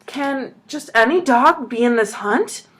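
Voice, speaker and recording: A woman's voice: high voice, lively intonation, unhurried, fairly bright tone, pitched above the performer's own voice. A woman voicing a wide-eyed, eager country boy who asks breathless, wondering questions. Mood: amazed.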